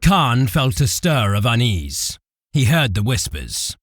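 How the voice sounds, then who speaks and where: A man's voice: mid-range voice, very animated, takes it slowly, fairly bright tone, no echo, clean studio sound. A man giving a dramatic, scripted narration of a fantasy war story as its narrator.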